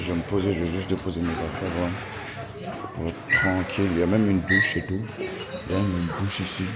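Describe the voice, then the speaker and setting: Low-voiced young man vlogging in a noisy lounge. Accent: French. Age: 20s. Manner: slangy, chatty, addresses viewers as "les gars". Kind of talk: casual vlog talk to camera. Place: airport lounge. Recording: noisy airport ambience.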